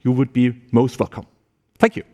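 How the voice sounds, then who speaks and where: A man's voice: medium pitch, level tone, normal speed, mellow. A man speaking informally to prospective students at a university open day, loose and chatty, with many restarts and "yeah?" tags.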